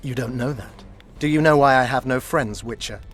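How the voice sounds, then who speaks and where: An actor playing a proud, cold spymaster in a fantasy game, speaking in an articulate, formal, insinuating way. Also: male voice, mid-range voice, moderate pace, soft background music, close to an everyday voice. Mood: cold, menacing. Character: shrewd, coldly proud royal spymaster, an adult man. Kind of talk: video game dialogue acting.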